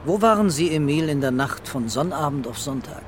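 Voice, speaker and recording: Actor as a laconic, patient police commissioner. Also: male voice, a little high, expressive, a little hiss or hum, close to an everyday voice. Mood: patient, questioning. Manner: laconic, unhurried, probing. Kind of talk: radio drama acting.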